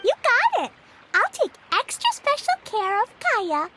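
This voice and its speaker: Little girl game mage: very high-pitched, animated, cheerful and childlike. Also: a little slow, very noisy, heavily acted. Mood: eager, curious. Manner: childlike, perky, innocent. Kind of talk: video game cutscene acting.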